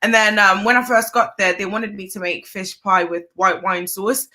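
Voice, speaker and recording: Bright-voiced young woman chef from Zimbabwe. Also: mid-range voice, fairly even intonation, normal speed. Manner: chatty, laughs easily, uses fillers. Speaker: chef, guest. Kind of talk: casual interview chat.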